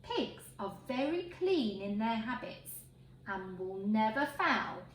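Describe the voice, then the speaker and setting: A woman reading a picture book aloud to children in an expressive, emphatic way. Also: a little high, slow. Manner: expressive, emphatic phrasing for young listeners. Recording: roomy echo, faint background noise.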